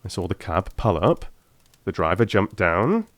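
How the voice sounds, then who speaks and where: A man playing a brisk, gleeful, self-assured gentleman detective in an audiobook, telling things in an animated, emphatic way. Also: deep voice, dry recording, exaggerated voice. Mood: lively, self-mocking.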